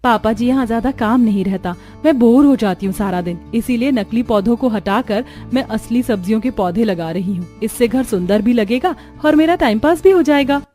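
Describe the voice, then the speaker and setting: A woman voicing a gentle, earnest young village daughter-in-law in a cartoon. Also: on the high side, lively intonation, moderate pace, few pauses, soft background music, lightly acted. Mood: cheerful.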